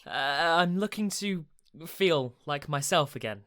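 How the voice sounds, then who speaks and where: A woman's voice: sits low, animated, unhurried. A woman playing a wary, awkward person seeking advice in a radio play, hesitant, nervous and sceptical. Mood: nervous, uncomfortable.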